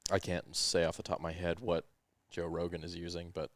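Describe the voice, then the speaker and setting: A man with a smooth voice hosting a podcast, giving relaxed, rambling tech explanations full of ums and uhs into a headset microphone. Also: low-pitched, fairly quick, no echo. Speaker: podcast host. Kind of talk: casual explanatory tech chat. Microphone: headset microphone with headphones.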